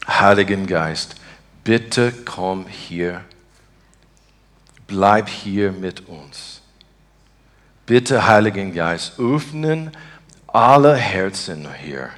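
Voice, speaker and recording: Male preacher, American accent, native English speaker. Very slow, halting non-native delivery, slightly low pitch, earnest and devout. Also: lively intonation, fairly bright tone. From Texas, United States. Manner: halting, searching for words in broken beginner German. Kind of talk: informal sermon and spontaneous prayer.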